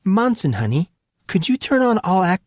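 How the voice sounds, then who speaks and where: An effusive, protective mother figure from an audio story, acted by a man. The pitch is lifted high and moves about in lively swings. The delivery is gushing, forthright and nosy, recorded dry. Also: normal speed, no echo. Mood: bright surprise. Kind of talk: dramatised audiobook dialogue.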